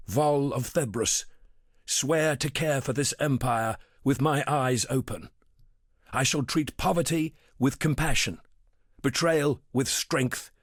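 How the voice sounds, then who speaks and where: An actor playing a proud, commanding emperor in a video game, declaiming formally and deliberately. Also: male voice, medium pitch, dry recording, clean studio sound. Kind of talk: scripted video game monologue.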